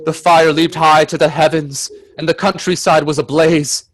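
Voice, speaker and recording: Man acting an earnest, higher-voiced gentleman adventurer. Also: flat delivery, natural voice. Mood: grave narration. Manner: earnest, hesitant in dialogue, measured in narration. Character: earnest, anxious gentleman companion telling the adventure. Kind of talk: radio play acting with dramatic narration.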